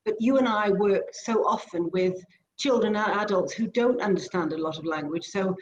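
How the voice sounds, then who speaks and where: A Welsh-born woman with a British accent speaks as an interview guest, explaining her work in a measured, articulate way. Her voice sits at a middling pitch for a woman. Welsh is her first language. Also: dull audio. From Wales. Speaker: guest, speech therapist and behavior analyst.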